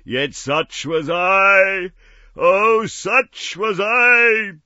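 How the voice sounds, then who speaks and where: A tormented chained spectre, put on by a man reading a storybook, who wails in slow, drawn-out cries. The voice climbs well above the reader's own. It sounds bright, dry and a little dull. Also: fairly low pitch, dry recording, pitched above the performer's own voice. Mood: anguished. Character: wailing chained male ghost, anguished and solemn.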